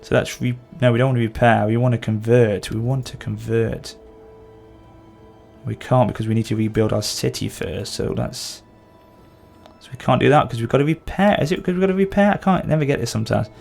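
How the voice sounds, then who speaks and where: A man's voice: mid-range voice, brisk, creaky, a little mellow, faint music behind. A man with a sore throat and occasional cough, casually commenting as he plays a strategy game. He thinks aloud and corrects himself as he goes. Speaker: gameplay channel host.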